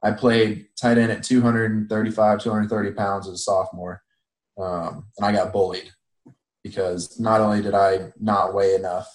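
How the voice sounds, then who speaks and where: A young man, a college football player, calmly recounting his story in a casual interview. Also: on the low side, normal speed, roomy sound, poor audio. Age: young adult.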